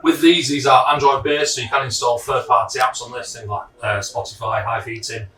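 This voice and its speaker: A man walks through a product demonstration at a brisk pace, in an informal and practical style. His voice sits at an ordinary male pitch and is picked up from a distance in an echoey room. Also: steady tone, pushed and bright.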